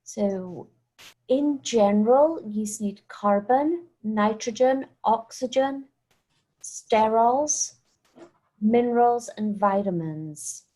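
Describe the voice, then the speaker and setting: A woman from Scotland with a Scottish accent, a guest scientist on a webinar, explaining topics clearly and fluently from home over a video call. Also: on the high side, expressive, takes it slowly, dark tone, poor audio.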